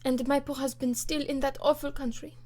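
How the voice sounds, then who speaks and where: Anxious aristocratic Frenchwoman voiced by a female narrator: French accent, higher pitch, plaintive, formal, storybook. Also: little pitch movement, a little fast, darkish tone, lightly acted. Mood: distraught.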